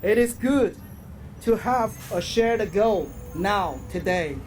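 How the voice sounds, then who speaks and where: Slow, measured man addressing an outdoor rally. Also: high-pitched, lively intonation, soft background music. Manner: measured, halting phrasing with pauses. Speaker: activist speaker. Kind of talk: prepared rally speech. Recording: outdoor rally with crowd and street noise.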